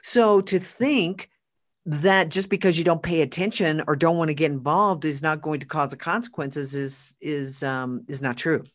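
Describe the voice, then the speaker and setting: Emphatic podcast host. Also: medium pitch. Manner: emphatic, repeats words, reads quotes slowly. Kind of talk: casual podcast chat.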